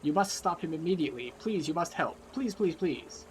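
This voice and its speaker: A man's voice: on the high side, fast, a little hiss or hum, lightly acted. A man voicing an urgent, dramatically pleading male quest giver from a fantasy game.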